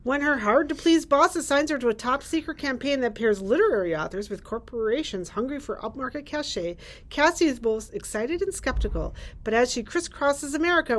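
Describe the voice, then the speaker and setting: A woman's voice: high-pitched, expressive, fairly quick. A middle-aged woman librarian presenting books in a library room, reading summaries aloud. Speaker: librarian presenting book picks. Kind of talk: reading book blurbs aloud with brief chat.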